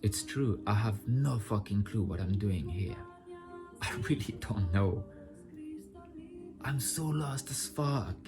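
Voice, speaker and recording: A man's voice: fairly low pitch, lively intonation, takes it slowly, long pauses, music in the background. A man talking straight to his audience in an unscripted personal monologue, blunt and profane, building to impassioned outbursts. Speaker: vlogger sharing personal insights.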